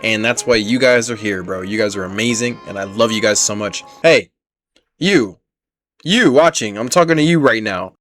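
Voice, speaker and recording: A man's voice: on the low side, moderate pace, a bit bright. A young man giving casual gameplay commentary, chatty and slangy, speaking straight to viewers. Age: young adult. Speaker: streamer reacting to a game. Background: faint game music.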